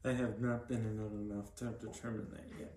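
A man's voice: lowish voice, little pitch movement, a little fast, warm tone. A young man giving short, plain-spoken, casual answers as an interview guest. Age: young adult. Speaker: interview guest, vocational trainee. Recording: echoey room, faint background noise.

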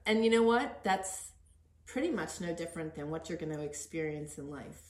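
A woman's voice: deep voice, animated, normal speed, darkish tone, slight background noise. A middle-aged woman hosting a podcast interview in an earnest, encouraging, conversational way. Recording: echoey untreated room.

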